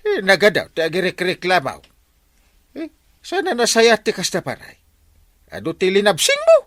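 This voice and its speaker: A man with an Ilocano accent playing an excitable, blustering village captain full of exclamations in a comedy radio drama. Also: high voice, no room sound, clean studio sound, full character voice. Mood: stern, threatening. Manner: exclamatory, full of drawn-out interjections. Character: excitable comic male village captain, blustering and easily swayed.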